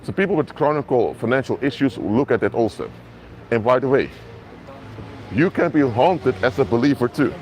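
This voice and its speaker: A man's voice: mid-range voice, animated, normal speed, a little mellow, slight background noise. A man whose first languages are Papiamento and Dutch, preaching earnestly to live-stream viewers on a mobile phone outdoors in a city. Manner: earnest, repetitive, addresses viewers directly. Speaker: minister. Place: outdoors in an urban area.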